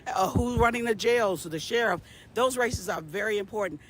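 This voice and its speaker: A man's voice: high voice, normal speed, fairly bright tone. A man giving a passionate, emphatic opinion in a street interview. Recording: outdoor street noise.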